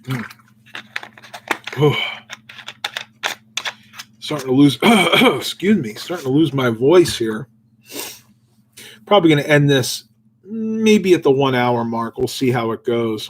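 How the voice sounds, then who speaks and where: A man's voice: medium pitch, slow, long pauses, darkish tone, slight background noise. A man hosting a livestream, reading Bible verses aloud and then musing loosely about them with fillers, and answering viewers' questions. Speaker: livestream host. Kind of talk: casual devotional livestream chat.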